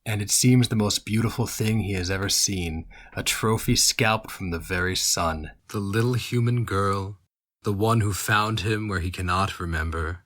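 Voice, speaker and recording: A man giving a dramatic reading of dark fiction, slow and intense, rising into high, strained outcries at moments. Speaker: narrator.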